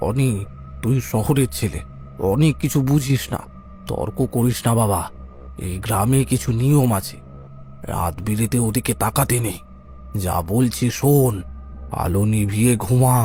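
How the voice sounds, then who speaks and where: A man's voice: medium pitch, a little slow, pauses often, mellow, natural voice. A man acts a secretive village uncle of middle age in a cartoon story. His mid-range voice admonishes and commands, protective but evasive. Music plays faintly behind. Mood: stern warning. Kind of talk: animated audio story dialogue.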